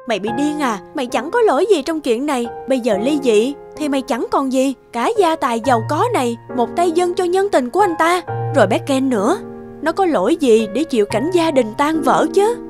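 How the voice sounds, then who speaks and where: A woman's voice: sits high, lively intonation, normal speed, over music. A woman playing an earnest, troubled woman confiding in a melodramatic cartoon dub. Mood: upset, urgent. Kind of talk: melodramatic Vietnamese cartoon dubbing.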